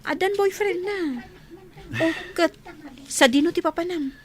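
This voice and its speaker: A woman's voice: extremely high pitch, unhurried. A woman acting a gossipy, teasing young schoolmate in a radio drama, with a Filipino accent.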